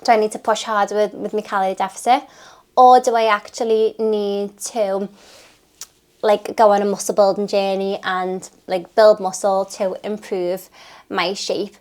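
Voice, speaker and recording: A woman's voice: mid-range voice, little pitch movement, normal speed, a little mellow. A British woman in her thirties, a nutritionist and personal trainer, chatting unscripted to the camera while sniffly and chesty from a cold. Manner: chatty, rambling, listing things off. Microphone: talking to camera.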